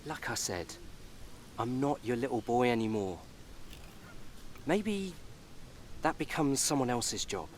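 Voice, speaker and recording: An actor playing a sarcastic, resentful young adult son in a naturalistic radio soap. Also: male voice, medium pitch, expressive, slow, long pauses, a little hiss or hum. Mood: bitter.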